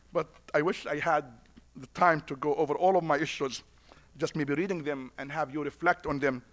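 A middle-aged man with a foreign accent, an imam giving a conference speech at a podium, preacher-like and repeating lines for emphasis. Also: fairly high pitch. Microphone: podium mic.

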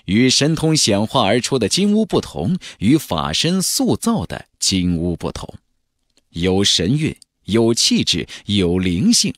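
A man narrating a fantasy audiobook in a theatrical, expressive storytelling manner. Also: fairly low pitch, unhurried, no echo, clean studio sound. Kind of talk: dramatic scripted narration of a fantasy novel. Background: background music.

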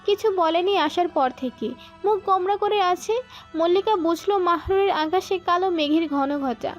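A woman narrates a scripted romance in a very high, girlish-pitched voice. The sound is slightly dull and lo-fi, with soft music playing underneath. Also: moderate pace. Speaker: story narrator.

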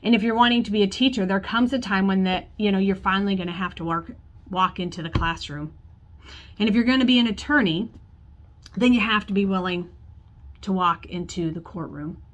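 A woman in her forties, a pastor, preaching a sermon to camera from her office, telling a story in a warm conversational way. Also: medium pitch, a little fast. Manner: storytelling, acts out quoted voices, gestures with hands. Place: church office with bookshelves, indoors.

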